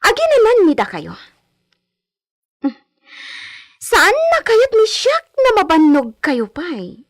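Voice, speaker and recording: A woman playing a haughty, spoiled young landowner's daughter in a Filipino radio drama, with a Filipino accent and drawn-out, Spanish-flavoured phrasing. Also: extremely high pitch, wildly swooping pitch, full character voice. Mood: haughty, coaxing. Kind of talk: Ilocano radio drama acting.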